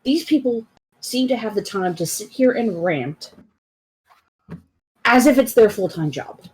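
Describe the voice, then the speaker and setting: A woman in her thirties chatting casually as a guest on a live stream, blunt and animated, often cutting in on the others. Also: mid-range voice, measured pace, slight background noise, poor audio. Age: 30s. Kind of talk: casual chat.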